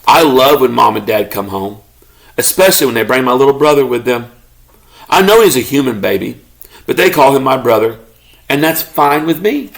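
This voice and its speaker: A man reading a children's story aloud expressively, as a parent does to a child, pausing to ask questions. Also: medium pitch, lively intonation, gravelly, a bit bright, low-quality recording. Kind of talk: expressive children's read-aloud, narrating as a pet dog.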